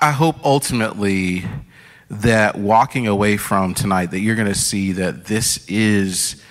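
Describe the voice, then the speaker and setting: A low-pitched man preaches from a stage in a casual, conversational way, often stopping to restart a thought. His voice rings out with a long reverb from the hall around him. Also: a bit bright. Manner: conversational, many fillers and restarts. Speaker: pastor. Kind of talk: sermon. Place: church stage.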